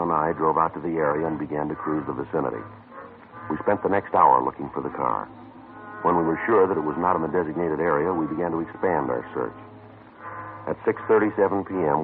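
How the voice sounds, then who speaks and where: An actor playing a calm, dogged police detective who also narrates, speaking flat and matter-of-fact in an old radio drama. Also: male voice, a bass voice. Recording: tinny lo-fi old radio broadcast.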